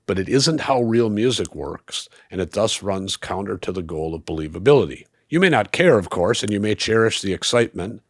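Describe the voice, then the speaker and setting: A man delivering a scripted review in a measured, analytical, explanatory way. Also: sits low, no echo, clean studio sound. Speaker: audio equipment reviewer.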